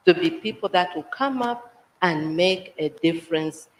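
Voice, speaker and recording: Soft-spoken woman in an echoey hall. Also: lowish voice, a little slow, a little hiss or hum. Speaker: audience member asking a question. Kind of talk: audience comment at a conference. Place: conference hall.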